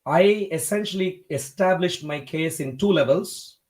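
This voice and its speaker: A man from India hosting a debate livestream, talking in a measured, polite way with frequent hesitations. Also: a little high, a little mellow. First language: not Hindi. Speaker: livestream host.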